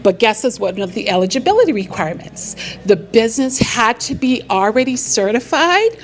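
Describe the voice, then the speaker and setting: A woman's voice: on the high side, expressive, normal speed, a little hiss or hum. A middle-aged woman from Hawaii, a business consultant giving a live talk on stage to an audience, upbeat and engaging the crowd with questions. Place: event venue stage.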